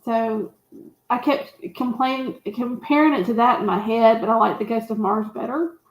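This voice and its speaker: Female movie-show co-host, slightly high voice, folksy casual chat, very roomy sound. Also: steady tone, normal speed. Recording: echoey room sound.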